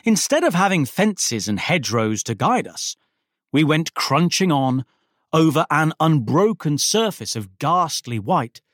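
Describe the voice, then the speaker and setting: A man reading a Victorian story aloud as an actor, with wry, self-deprecating humour and deliberate, dramatic phrasing. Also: a little high, very animated, fairly bright tone, no echo, clean studio sound. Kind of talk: expressive literary narration of a Victorian story.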